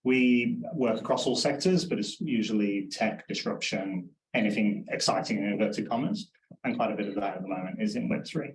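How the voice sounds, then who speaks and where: A man's voice: fairly low pitch, moderate pace, reverberant, poor audio. A man introducing his advisory work on a panel, organized and businesslike. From Northwest England. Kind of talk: online panel discussion.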